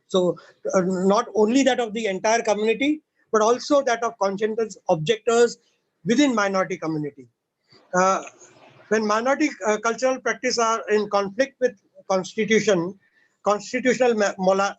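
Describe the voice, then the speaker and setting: Earnest man lecturing, high voice. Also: rough recording. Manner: measured, earnest, many "uh" pauses. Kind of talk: prepared lecture on a list of points.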